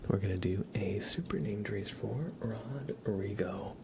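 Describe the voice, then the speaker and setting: A man hosting an ASMR livestream, chatting to viewers in a breathy whisper mixed with soft, creaky speech, gentle, unhurried and playful. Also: on the low side, lively intonation, noisy background.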